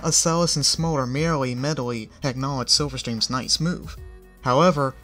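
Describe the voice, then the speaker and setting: A man's voice: medium pitch, bright, forceful tone. Man narrating a storybook. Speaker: fanfic reader. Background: faint music.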